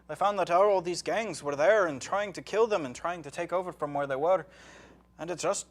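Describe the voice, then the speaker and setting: A man's voice: high-pitched, normal speed, natural voice. A man playing a boastful, hard-drinking Irishman, rambling and joking. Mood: blustering. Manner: blustering, jokey, rambling with fillers. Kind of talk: comic banter.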